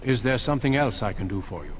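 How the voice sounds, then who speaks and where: An actor playing a polite, resolute middle-aged warrior in a fantasy video game, speaking in a formal, measured, earnest way. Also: male voice, mid-range voice, expressive, a little hiss or hum, natural voice.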